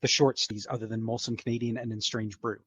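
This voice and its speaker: A man's voice: fairly low pitch, little pitch movement, fast, fairly bright tone, a little hiss or hum. A middle-aged man who works as a voice actor, chatting casually as a guest on a podcast. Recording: slightly dull, lo-fi sound.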